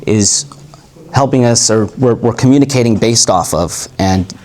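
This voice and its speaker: A man's voice: mid-range voice, normal speed. A man coaching a live workshop group, talking in a loose, rambling way that often circles back and corrects itself. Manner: relaxed, rambling, self-correcting. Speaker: communication coach.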